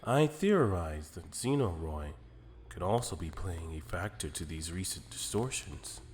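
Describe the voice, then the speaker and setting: A man playing a calm, calculating celestial attendant who speaks in a measured, formal, deliberate way in an anime fan drama. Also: low-pitched, long reverb tail, a little hiss or hum. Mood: analytical.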